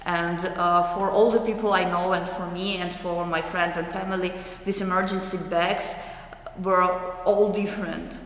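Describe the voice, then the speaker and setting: A young adult woman from eastern Ukraine with a Ukrainian accent, answering interview questions thoughtfully with many hesitant pauses. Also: lowish voice, fairly even intonation, a bit bright. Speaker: artist interviewee. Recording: roomy hall with long echo.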